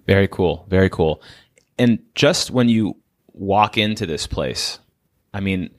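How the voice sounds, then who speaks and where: Low-voiced host guy, super enthusiastic, gushes a lot. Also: a bit bright. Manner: enthusiastic, effusive. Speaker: podcast host. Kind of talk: casual interview chat.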